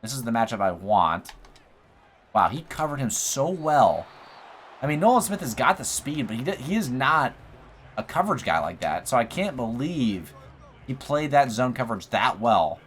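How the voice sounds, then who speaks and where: A man's voice: medium pitch, normal speed, a little hiss or hum. Young man commentating a football video game. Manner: excitable, talks through plays, says bro and like. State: voice going a bit hoarse. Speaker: gaming YouTuber. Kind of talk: casual play-by-play commentary over gameplay. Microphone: USB desk mic.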